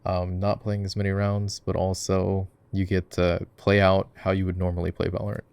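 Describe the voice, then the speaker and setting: Man playing low, creaky gamer boyfriend. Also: monotone, normal speed, darkish tone, no room sound. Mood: easygoing, explaining. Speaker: voice actor. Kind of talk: casual ASMR roleplay over live gameplay. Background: keyboard typing and mouse clicking.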